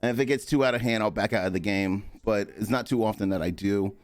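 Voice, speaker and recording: A man hosting a casual interview chat, animated and slangy, laughing often. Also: lowish voice, fast, no echo. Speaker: podcast host.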